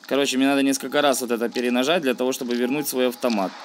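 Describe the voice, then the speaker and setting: A man's voice: mid-range voice, fairly even intonation, very fast, a little hiss or hum. A man giving casual, slangy let's-play commentary, thinking aloud and grumbling as he plays. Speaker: gaming video host.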